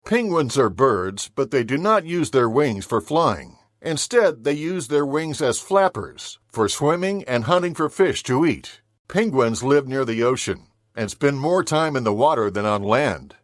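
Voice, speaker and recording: A man reads nature facts for young listeners in an average-pitched voice. His delivery is measured and informative, recorded very dry with no room sound. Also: big pitch swings, dry recording. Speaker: children's audiobook narrator. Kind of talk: scripted nature documentary-style narration for children.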